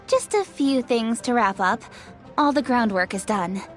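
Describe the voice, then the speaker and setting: Poised, higher-voiced young official. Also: female voice, animated, a little slow. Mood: kindly. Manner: composed, articulate, polite. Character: poised, diligent young woman official, courteous and composed. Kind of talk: video game character acting. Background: faint music.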